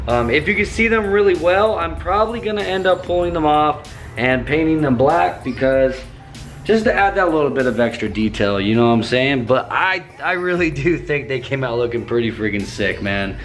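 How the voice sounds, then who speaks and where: A young man with a slightly hoarse voice, walking through a car build in a casual vlog and tossing in dude and bro. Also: on the high side, expressive, moderate pace, soft background music. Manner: laid-back slangy, says dude and bro. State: slightly hoarse, losing his voice.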